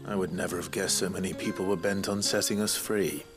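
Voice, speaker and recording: A man acts a young, brooding warrior in a dark fantasy game. His voice sits very low, serious and held back, with an earnest, doubting edge and music underneath. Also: fairly even intonation, brisk, natural voice. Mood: skeptical. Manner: serious, restrained, skeptical. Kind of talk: video game cutscene acting.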